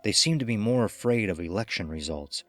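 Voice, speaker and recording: A man's voice: fairly low pitch, expressive, brisk, fairly bright tone, no room sound, faint music behind. A man reading a scripted horror story as its narrator. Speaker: audiobook narrator.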